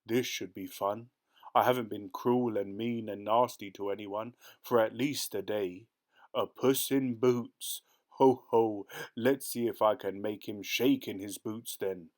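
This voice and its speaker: A man voicing a fierce, cruel, boastful ogre with a slow, booming roar. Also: fairly even intonation, darkish tone, natural voice.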